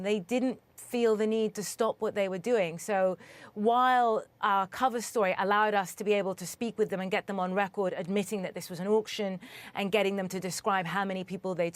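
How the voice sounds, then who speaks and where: A woman correspondent from Sudan answering interview questions in a measured, earnest way, often restarting her sentences as she thinks. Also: mid-range voice.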